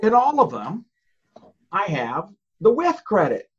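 A husky-voiced American man in his sixties with a Southern accent and a pitch that swings widely. He chats with animated ease as a podcast guest, and his voice comes through a dull, lo-fi recording. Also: a little slow, faint music behind. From United States. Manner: animated storyteller, laughs often. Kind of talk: casual interview talk.

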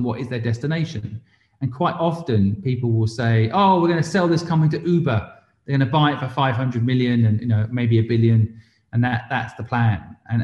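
A man's voice: mid-range voice, a little mellow. A man speaking as an interview guest, an entrepreneur explaining his views conversationally with rhetorical and tag questions. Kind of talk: conversational interview, giving business advice. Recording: roomy echo, slightly dull sound.

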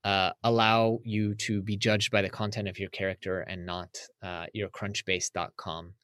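Low-voiced man talking reflectively. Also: level tone, moderate pace, fairly bright tone, no room sound, studio quality. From United States. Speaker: podcast guest. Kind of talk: casual interview talk.

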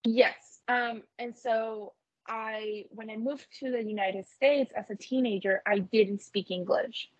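A woman's voice: a little high, fairly even intonation, takes it slowly, no echo, lo-fi sound. A woman from Colombia whose first language is Spanish, speaking earnestly and effusively as an interview guest, telling her story in a conversational way. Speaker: interview guest, tech company co-founder.

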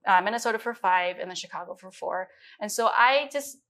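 A woman's voice: medium pitch, brisk, fairly bright tone. A woman in her late thirties, born in China and raised in the United States, giving a reflective, detailed account of her life as an interview guest. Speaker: guest, chief science officer. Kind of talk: conversational interview.

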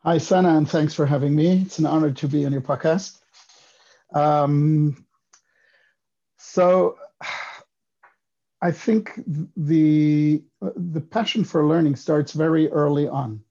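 Swiss guy in his 50s on a podcast, kinda gravelly, mellow dark tone, thinks out loud slowly. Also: fairly high pitch, fairly even intonation, dull audio. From Switzerland. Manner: reflective, unhurried storytelling. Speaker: podcast guest, economist. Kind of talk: casual interview talk.

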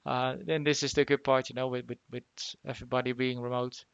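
A man hosting a casual remote interview, talking haltingly with lots of fillers and restarts. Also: medium pitch, little pitch movement, smooth, no echo, lo-fi sound. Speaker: podcast host.

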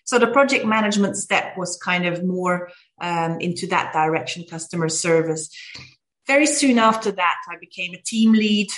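A woman in her forties from Belgium, a company CEO, telling her career story in a measured way with frequent fillers. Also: on the low side, fairly bright tone, rough recording. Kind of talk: interview conversation.

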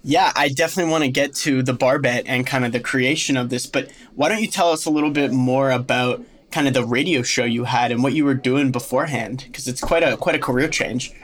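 A man's voice: mid-range voice, fairly quick, hardly any pauses, a bit bright. Easygoing male interview host. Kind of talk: interview questions.

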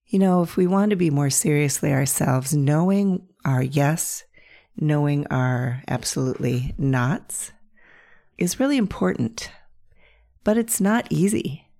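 A middle-aged woman with a low, croaky voice, hoarse from a bout of bronchitis so her words crack and rattle. She talks slowly and gently, telling stories with a playful warmth. A relaxed solo podcast host. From north central Pennsylvania. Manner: gentle, playful storytelling with soft laughs. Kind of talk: reflective solo podcast monologue.

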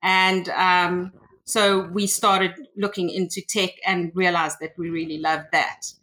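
A woman's voice: lowish voice, level tone, slow, bright, forceful tone, low-quality recording. A woman with a South African accent, a guest on a podcast, telling her story in a chatty way with frequent ums. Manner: chatty, hesitant, many ums. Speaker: guest, tech consultant. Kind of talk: casual interview conversation.

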